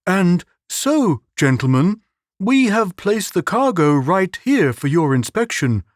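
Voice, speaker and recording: A man's voice: high voice, very animated, slow pace, dry recording, clean studio sound, voice pushed up, exaggerated voice. A man voicing an earnest, naive young man who speaks politely.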